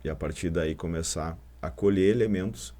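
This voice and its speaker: A man's voice: deep voice, moderate pace, mellow. A man, a podcast guest, speaking earnestly and with emphasis. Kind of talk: podcast discussion.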